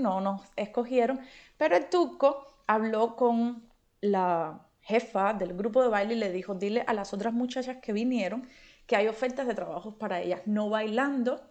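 Cuban woman, fairly high voice, telling stories. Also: a little mellow. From Cuba. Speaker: interview guest. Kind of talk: casual personal storytelling.

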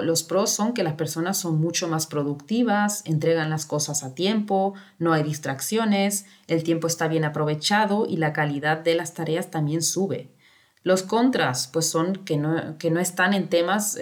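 Low-voiced woman explaining calmly. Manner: explanatory, with many fillers and tag questions. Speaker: guest. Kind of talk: casual interview talk.

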